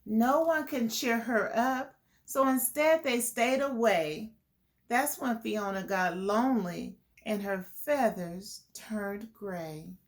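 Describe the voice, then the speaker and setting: A woman telling a children's story in an expressive, deliberate way, as host speaking to young listeners. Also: a little high.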